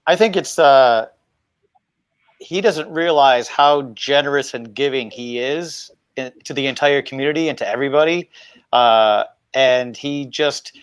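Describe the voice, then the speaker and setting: A man's voice: medium pitch, measured pace, clean tone, dry recording. A middle-aged man talking reflectively as a panelist on a video call, wearing wired earphones. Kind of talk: reflective conversational talk. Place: dining room at home, video call.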